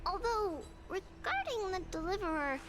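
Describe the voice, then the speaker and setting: A woman's voice: very high-pitched, big pitch swings, normal speed, over music. An actress voicing a sweet, serene childlike oracle girl in a fantasy game, cheerful and soothing with polite, formal wording. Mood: reassuring.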